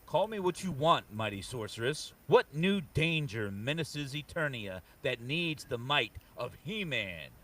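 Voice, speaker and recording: A man's voice: mid-range voice, very animated, measured pace, hardly any pauses, sharp tone, distant mic, full character voice. A man playing a swaggering young fantasy prince with bluff, old-fashioned heroic phrasing in a comic book table read. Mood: hearty, heroic.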